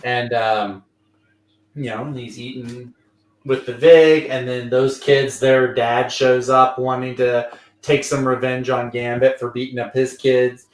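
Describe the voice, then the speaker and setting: A man's voice: mid-range voice, flat delivery, measured pace, far from the mic. A man from Louisiana chatting through a comic recap on a live stream. He rambles, piles on fillers and slips into little quoted voices. His voice sounds roomy and sits a bit back from the mic. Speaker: comic book show host. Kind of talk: casual livestream review chat.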